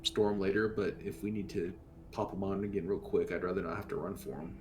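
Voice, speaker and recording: A man with a fairly low voice talks as a player in a tabletop game. His delivery is conversational and casual as he reasons through choices with the group. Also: steady tone, fast talker, background noise.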